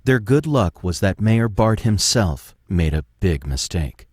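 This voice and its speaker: A man narrating an audio drama from a script, announcing scenes in a measured way. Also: sits low, sing-song, no echo.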